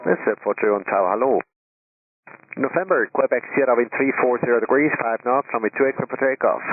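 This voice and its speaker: A man working as an air traffic controller, giving brisk, procedural clipped instructions over a radio. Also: mid-range voice. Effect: radio transmission. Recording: tinny narrowband aviation radio.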